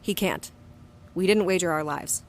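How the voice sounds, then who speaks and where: Casting: actress as tough, stubborn female agent for audio drama, lowish voice, faint ambience behind her. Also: normal speed, slight background noise, natural voice. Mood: defiant. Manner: blunt, terse, stubborn. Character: defiant, battle-hardened young woman agent narrating her story. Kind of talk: audio drama dialogue and first-person narration.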